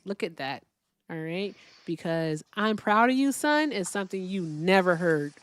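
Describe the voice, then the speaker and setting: A woman's voice: lowish voice, expressive, moderate pace. A woman hosting a live-stream debate, mocking callers in brash, casual trash talk and sounding tired.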